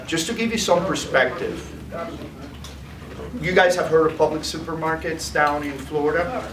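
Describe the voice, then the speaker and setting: A man's voice: on the high side, normal speed. A man giving a live product demo as a sales presenter, often tagging his points with "okay?". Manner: tags lines with "okay?". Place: food trade demo room. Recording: echoey room, mic far off, noisy.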